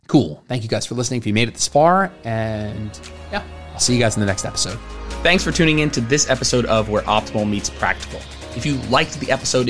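A man's voice: lowish voice, fairly bright tone. A young man hosting his own fitness podcast, talking loosely and rambling, with many fillers and restarts. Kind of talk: casual solo podcast monologue.

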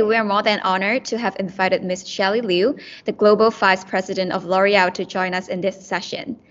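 A woman's voice: mid-range voice, fairly bright tone. A young woman hosting a student podcast, asking questions with lots of hesitant fillers. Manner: hesitant, uses many fillers like "um" and "like". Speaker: podcast host, student club director. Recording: dull lo-fi with faint music.

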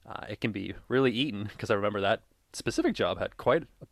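Young male co-host, lowish pitch, dry studio sound, loose tangent-heavy chat. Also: expressive, quick pace, vocal fry, no echo. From Minnesota. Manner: rambling, tangent-prone, laughs often. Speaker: podcast co-host. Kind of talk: casual chat.

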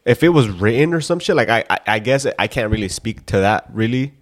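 A man's voice: lowish voice, lively intonation, a little fast. A young man co-hosting a casual podcast chat, sounding stuffed up after nose surgery, laid-back and swearing freely. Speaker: podcast co-host.

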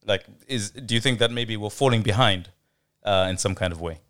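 A man's voice: fairly low pitch, fairly quick, fairly bright tone. A man co-hosting a casual podcast, asking hesitant questions full of "um" and "uh". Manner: hesitant, many "um" and "uh", asks questions. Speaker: podcast co-host.

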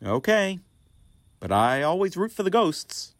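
A man's voice: sits high, big pitch swings, unhurried. A man playing a grumpy, lonely male ghost in an audio play, complaining with dry comedy. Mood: conciliatory. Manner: complaining, dryly comic.

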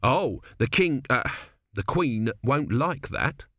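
A man voicing a hesitant, easily astonished father of a builder family, halting and concerned, in a storybook reading. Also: medium pitch, sing-song, fairly bright tone, no echo, clean studio sound, heavily acted. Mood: doubtful.

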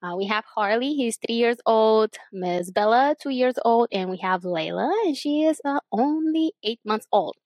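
A woman's voice: a little high, animated, moderate pace, hardly any pauses, darkish tone, dry recording. Bubbly Bulgarian-accented woman co-host. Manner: bubbly, enthusiastic, laughs often. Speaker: podcast co-host, real estate agent. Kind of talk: casual chat.

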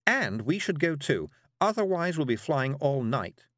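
A timid, genteel lady witch from a storybook, acted out by a male narrator, pitched well up. The delivery dithers and apologizes, with lively swings in pitch and a close, dry sound. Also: a little slow, dry recording. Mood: modest, apologetic. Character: fussy, absent-minded, timid middle-aged woman witch.

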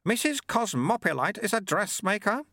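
A man's voice: high voice, big pitch swings, normal speed, no room sound, voice pushed up, exaggerated voice. A man voicing an ancient, humble and wily male monk who speaks mildly and patiently. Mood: calm, respectful. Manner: mild, patient, gently dry.

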